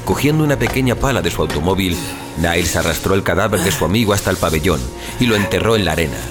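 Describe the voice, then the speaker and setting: Deep-voiced man narrating dramatically. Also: lively intonation, quick pace, over music. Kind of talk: dramatic scripted story narration.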